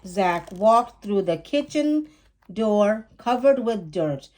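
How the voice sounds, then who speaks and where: Slow-paced woman reading a children's story. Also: mid-range voice, expressive, darkish tone. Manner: measured, acts out dialogue. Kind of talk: expressive scripted read-aloud narration.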